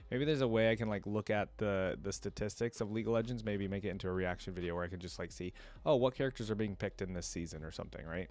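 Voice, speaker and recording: A man with a fairly low voice, recorded close and dry. He talks casually as a gaming reaction host, rambling and working out his thoughts aloud. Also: darkish tone, no echo.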